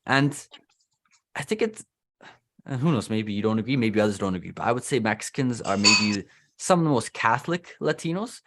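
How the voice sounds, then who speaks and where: A man's voice: fairly low pitch, lively intonation, brisk, a bit bright. A young Canadian man with a Canadian accent hosting a casual interview, talking loosely with lots of restarts and fillers. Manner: chatty, loose, heavy use of "like" and restarts. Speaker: host.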